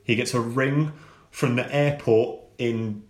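A man with a slow, unhurried delivery tells a story as a podcast co-host. He laughs often and breaks into silly voices for the people in the tale. The room around him is echoey and roomy. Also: medium pitch, steady tone, darkish tone. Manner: laughs often, drawls and acts out phone calls. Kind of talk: comedic storytelling chat.

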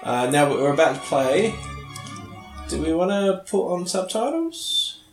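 A man chatting casually as a podcast co-host doing movie commentary, nasal and stuffed up with a cold, a little halting as he goes. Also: fairly high pitch, big pitch swings. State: sniffly with a cold. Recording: roomy echo, music behind.

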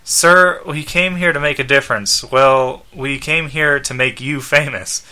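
A man's voice: mid-range voice, steady tone, a little slow, pushed and bright. A man voicing a polite, concerned robot butler companion from a comic book, earnest and deferential. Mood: earnest, pleading. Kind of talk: comic book read aloud.

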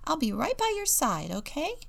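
Bubbly young female penguin, acted by a woman, gushing and confident, high animated storybook voice. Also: normal speed, gravelly, heavily acted. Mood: reassuring. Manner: squeaky, gushing, excitable.

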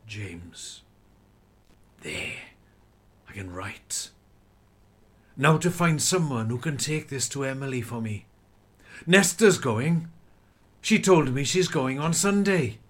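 A man's voice: medium pitch, expressive, takes it slowly, pauses often, fairly bright tone, slightly rough, exaggerated voice. A man playing a humble, plain-spoken farm labourer and father in a radio play. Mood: earnest. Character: Tommy's father, humble, hard-working rural farm labourer.

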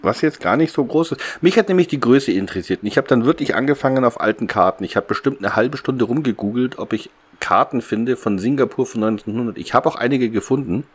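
A man's voice: mid-range voice, fast talker, hardly any pauses, slightly rough. A man hosting a podcast in casual discussion, thinking aloud with some hesitation. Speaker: podcast host.

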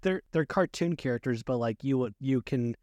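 Male co-host, mid-range voice, dry recording, informal halting pop-culture chat. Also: mid-range voice, a little mellow, no room sound. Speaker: podcast co-host. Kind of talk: casual movie and game discussion.

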